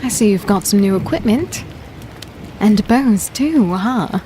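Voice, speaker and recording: An actress playing a warrior's caring, plainspoken partner in a fantasy audio drama, worried and expressive. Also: female voice, mid-range voice, a little slow, lightly acted. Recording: rainstorm noise behind the voice.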